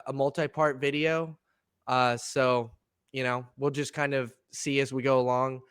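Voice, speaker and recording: A young man co-hosting a laid-back podcast, his voice a little gravelly and nasal from allergy congestion. He speaks at a middling pitch, and the sound comes through smooth and clear in a dry, close room. He rambles easily, restarts sentences and laughs often. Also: a bit bright, no room sound. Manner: easygoing, laughs often, many fillers and restarts. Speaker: podcast co-host. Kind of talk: casual chat.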